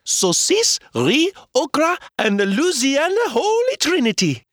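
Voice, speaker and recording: A man voicing a jolly tavern woman, bright and sing-song. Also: very high-pitched, huge pitch swings, unhurried, no room sound, studio quality, pitched above the performer's own voice, full character voice. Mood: cheerful. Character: jolly plump tavern woman, French-speaking.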